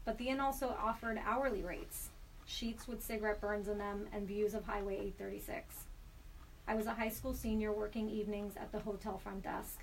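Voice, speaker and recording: Woman reading her own story, flat delivery. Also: medium pitch. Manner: measured, deliberate reading. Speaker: storyteller guest. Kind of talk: reading a personal story aloud. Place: studio. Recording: slightly distant mic with faint background hiss.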